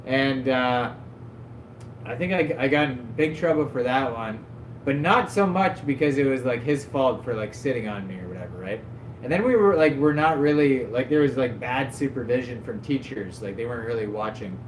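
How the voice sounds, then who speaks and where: Man, mid-pitch, casual stream host, loose rambling delivery, roomy echo with a faint hum behind. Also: expressive, moderate pace. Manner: rambling, loose, peppered with "like" and self-mocking laughs. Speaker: livestream host. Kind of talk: casual stream chat, storytelling. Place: at his desk at home. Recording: echoey room, faint background hum.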